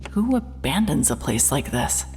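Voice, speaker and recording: A sardonic female private eye with a strikingly low voice. She narrates a horror audio drama in terse, wary noir phrases, as if muttering to herself. Some background ambience sits behind her. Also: very animated, unhurried, a little mellow, a little hiss or hum. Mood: uneasy, frustrated. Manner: muttering to self, wry, terse. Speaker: lead character and narrator.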